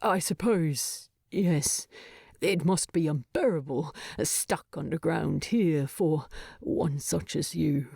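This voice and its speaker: A woman voicing a proud, gruff male dwarf king with a deep voice, speaking formally and haltingly with heavy feeling, as in an audiobook. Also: darkish tone, dry recording, clean studio sound, heavily acted. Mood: resigned, pained.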